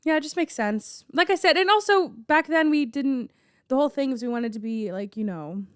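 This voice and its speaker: A young woman co-hosting a podcast in casual chat, her voice hoarse and lower from a cold, rambling with many fillers and restarts. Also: animated, moderate pace, fairly bright tone, dry recording, clean studio sound. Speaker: podcast co-host.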